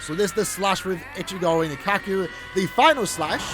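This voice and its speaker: High-pitched man doing casual game commentary. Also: a little fast, background noise. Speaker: gaming video host.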